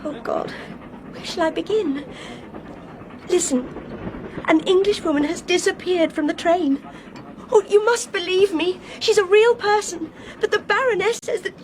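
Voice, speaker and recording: An actress playing a highly strung, independent young Englishwoman in a radio drama. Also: unhurried, a little hiss or hum. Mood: distraught, dazed. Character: distressed, aloof young Englishwoman travelling alone abroad.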